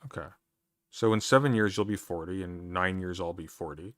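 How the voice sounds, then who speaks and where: A man's voice: deep voice, moderate pace, fairly bright tone, dry recording. A man in his thirties debating online, dry and dismissive, repeating himself when cut off. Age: 30s. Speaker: streamer in a debate.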